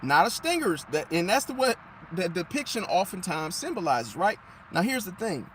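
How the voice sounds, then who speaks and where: A man, his high voice worn slightly hoarse, lectures with a preachy, emphatic rhythm. He keeps circling back to his point and asking questions he answers himself. Faint street traffic hums behind him. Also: very animated, moderate pace, a bit bright, poor audio. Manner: preachy, emphatic, repeats phrases and asks rhetorical questions. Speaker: astrology commentator. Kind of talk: casual talking monologue to viewers.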